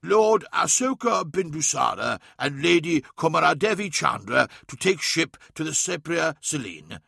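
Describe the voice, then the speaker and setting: Man playing a determined, smooth-talking servant, bright voice. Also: on the high side, big pitch swings, a little slow, dry recording, studio quality, heavily acted. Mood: grandly confident. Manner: formal, insistent.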